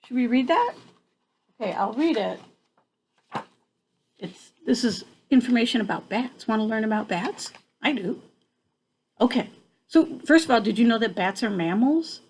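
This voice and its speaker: A woman's voice: a little high, lively intonation, normal speed, long pauses, darkish tone, slight background noise. An older woman, a grandmother reading a picture book to children expressively, with playful, exclamatory asides.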